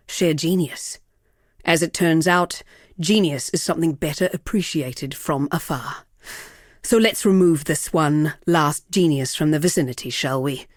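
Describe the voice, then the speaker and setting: A villainous sorceress for a fantasy video game. Her womanly voice sits fairly low and is recorded dry, with no room around it. She speaks deliberately and theatrically, haughty and seductive, savouring each taunt with cruel poise. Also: no room sound. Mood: wry, bitter. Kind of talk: dramatic video game character acting.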